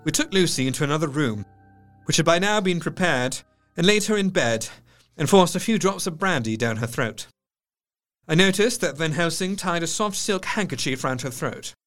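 Victorian doctor-narrator, gothic audio drama: grave, measured, lightish-pitched, scored with soft music. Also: male voice, expressive. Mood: earnest, tense. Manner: measured, solemn, literary. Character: grave, shaken Victorian male doctor recounting his diary. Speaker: actor narrating in character. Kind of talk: dramatic scripted first-person narration.

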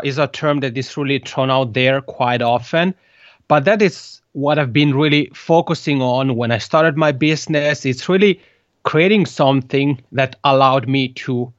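A man's voice: fairly high pitch, no room sound, muffled recording. A man in his thirties from the Italian-speaking part of Switzerland, with an Italian accent, a podcast guest who talks casually and often restarts his phrases. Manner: hesitant, repeats words while searching for phrasing. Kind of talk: conversational interview.

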